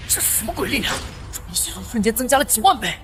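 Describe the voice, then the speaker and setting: A man voicing a cocky, defiant young sci-fi hero, scornful and dramatic, in an animated comic. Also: very high voice, very fast, soft background music, full character voice. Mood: strained.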